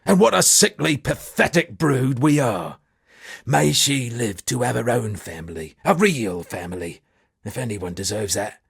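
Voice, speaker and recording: A shipwrecked old-world captain who fancies himself a bard in a video game. His voice is rough with heavy creak and husk, recorded close and dry. He delivers florid, pompous lines with dramatic flourish. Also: medium pitch, expressive, measured pace, dry recording, heavily acted. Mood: earnest. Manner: florid, dramatic, alliterative declaiming. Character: eccentric male shipwrecked sea captain and pompous amateur poet. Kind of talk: theatrical video game character dialogue.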